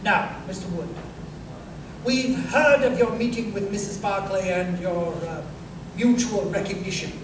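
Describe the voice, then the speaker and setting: A man playing a courteous, probing Victorian detective in a radio drama, formal and dryly ironic. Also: high voice. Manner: formal, measured questioning, dry. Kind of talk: old-time radio drama acting. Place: live performance in a museum. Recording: very echoey, distant, noisy stage recording.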